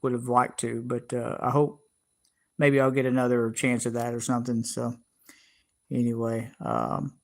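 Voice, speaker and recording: Middle-aged southern guy from georgia rambling on his livestream, lots of uhs and restarts, mid pitch. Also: flat delivery, normal speed, vocal fry. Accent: Southern American. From Georgia, USA. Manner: rambling, many fillers and restarts. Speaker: channel host. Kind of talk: casual livestream chat.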